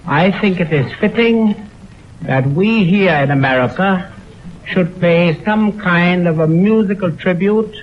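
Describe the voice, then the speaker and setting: A man giving a formal, solemn 1920s radio address as an orchestra's host, with deliberate phrasing, on an old broadcast recording. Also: fairly high pitch, slight background noise. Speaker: orchestra conductor hosting a radio concert. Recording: dull 1929 radio aircheck on optical film.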